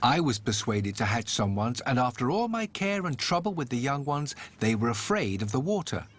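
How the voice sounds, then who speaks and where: A man's voice: medium pitch, sing-song, moderate pace, fairly bright tone. A man voicing a bossy, know-it-all old female duck in a storybook tale, opinionated and full of advice. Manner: opinionated, advising. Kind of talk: storybook character voice.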